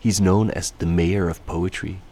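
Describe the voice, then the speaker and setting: A man from Denmark, a native Danish speaker, giving calm, reflective narration for a radio documentary. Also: low-pitched, a little slow.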